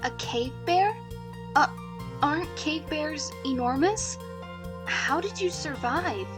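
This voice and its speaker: Lady playing shy anxious young princess, highish voice, hesitant, audio drama w music behind. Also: expressive, slow. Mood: flustered. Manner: stammering, hesitant. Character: timid, earnest young princess, anxious peacemaker.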